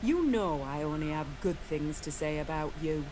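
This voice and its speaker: An actress voicing a principled, weary woman commander of a mercenary guard in a video game, speaking earnestly in measured, formal phrases. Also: low voice, animated, background noise. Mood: earnest, warm.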